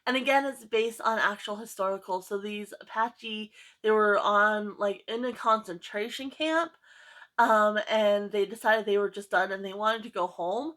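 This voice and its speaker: A woman's voice: medium pitch, moderate pace, a bit bright. A woman vlogger chatting casually about books she read, enthusiastic, with lots of fillers and repeated words. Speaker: book vlogger. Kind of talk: casual book review chat.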